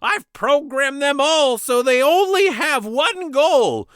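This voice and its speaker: A squeaky nerdy mad scientist. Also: male voice, lively intonation, slow, a bit bright, no echo, studio quality, heavily acted. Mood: gloating. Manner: squeaky, shrill villain taunts. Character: nerdy male mad scientist villain, bumbling.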